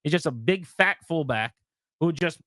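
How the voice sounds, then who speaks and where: Animated sports radio host, lively pitch. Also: male voice, normal speed, no room sound, clean studio sound. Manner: animated, argumentative. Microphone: studio mic.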